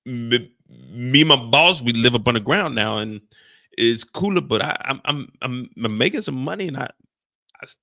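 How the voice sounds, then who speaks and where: A man's voice: medium pitch, expressive, gravelly, bright, forceful tone, no echo, studio quality. A man putting on a nervous young male henchman who stammers and trips over his words while talking on a phone. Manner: stammering, halting, repeats words.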